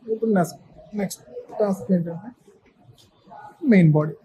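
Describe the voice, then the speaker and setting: A man with a slightly high, very mellow voice presents a hands-on tutorial. He explains one step at a time in short, practical phrases. There is faint noise behind him. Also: fairly quick. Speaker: presenter of a how-to video.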